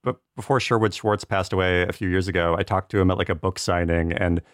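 A man with a deep voice chats as a podcast panelist. The sound is dry, with no room around it. He talks informally, circling and hedging his points with plenty of "like". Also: fairly even intonation, fast, no room sound. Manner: hedging, rambling, peppered with "like". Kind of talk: casual conversational discussion.

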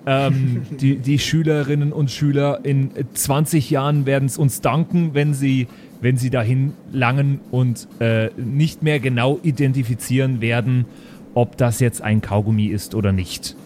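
A man's voice: mid-range voice, steady tone, unhurried, a little mellow, a little hiss or hum. A man improvising as a tabletop role-playing player, joking along with hesitant phrasing. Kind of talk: improvised in-character banter.

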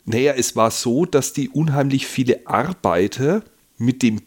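A man with a Franconian accent co-hosting a beer podcast, telling stories in a relaxed, laid-back casual chat. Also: medium pitch, measured pace. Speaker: podcast co-host.

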